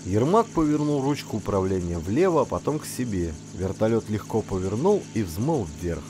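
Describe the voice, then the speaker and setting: A man reading a first-person literary story aloud as an audiobook narrator, in an expressive storytelling manner. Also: lowish voice, normal speed, background noise.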